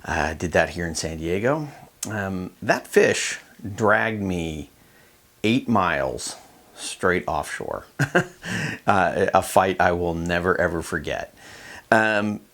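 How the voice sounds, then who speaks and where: A middle-aged man with an American accent gives informal, conversational instruction, unscripted and with hesitant pauses. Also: deep voice, lively intonation, a bit bright. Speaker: fishing show host and instructor.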